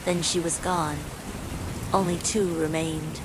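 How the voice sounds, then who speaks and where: A woman's voice: low voice, takes it slowly. A woman reading a scripted horror bedtime story, with falling rain behind her. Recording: steady rain noise throughout.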